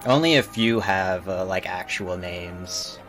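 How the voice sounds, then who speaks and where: A man streaming a game with casual, explanatory commentary in a halting way. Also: low voice, fairly bright tone, soft background music.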